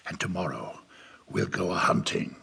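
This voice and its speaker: An actor playing a wily, domineering middle-aged pirate captain with a gravelly voice, theatrical and full of rustic sailor talk, in an audio drama. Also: male voice, deep voice, sing-song, moderate pace, a loud crowd, exaggerated voice. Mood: commanding.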